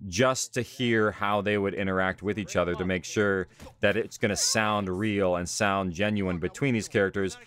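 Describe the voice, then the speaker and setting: A man's voice: lowish voice, a bit bright. A man speaking as an audio drama director in an interview, earnestly explaining his work in a conversational way.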